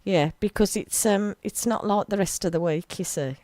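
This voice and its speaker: An English woman hosting a local radio show, chatting casually and giggling, with a slightly gravelly voice tonight. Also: mid-range voice, moderate pace, a little mellow, no room sound. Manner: chatty, giggly, talks over music. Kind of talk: casual chat. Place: small local radio studio.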